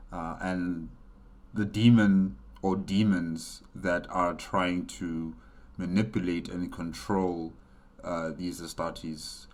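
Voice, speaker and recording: A man hosting a reaction video with casual, halting off-the-cuff commentary. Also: low-pitched.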